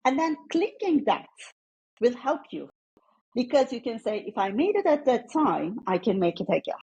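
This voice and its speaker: A woman's voice: fairly high pitch, sing-song, moderate pace, dark tone, slightly rough, highs cut off. A middle-aged woman with a Turkish accent, answering as a guest in a conversational interview. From Turkey. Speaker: podcast guest, coach.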